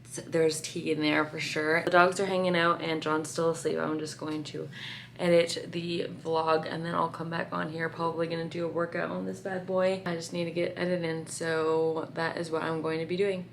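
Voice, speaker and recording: Woman vlogger with a raspy morning voice. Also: sits low, level tone, normal speed, slight background noise. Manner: chatty, lots of "like" and "um" fillers. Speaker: lifestyle vlogger. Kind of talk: casual vlog chat to camera.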